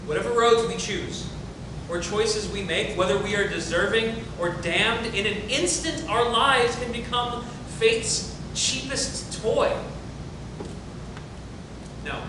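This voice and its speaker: A man playing a grieving, loyal friend who delivers a grave, halting, poetic monologue in a stage tragedy reading. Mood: grieving, solemn. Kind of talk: stage play table reading. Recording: very echoey room from far off, rumbling noise.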